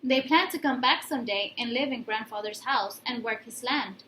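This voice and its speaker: A woman's voice: fairly high pitch, normal speed, pushed and bright, reverberant, slight background noise. A woman voicing a knowing older sister, a child confiding in her brother, in a storybook.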